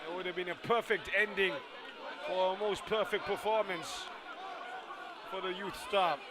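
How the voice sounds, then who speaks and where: A man giving live football commentary, chatty with witty asides, and shouting at the big chances. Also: sits high, fairly even intonation, moderate pace. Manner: chatty, quips and asides between play, rises to shouts at chances. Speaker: match commentator. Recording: loud stadium crowd and noise behind the commentary. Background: loud stadium crowd.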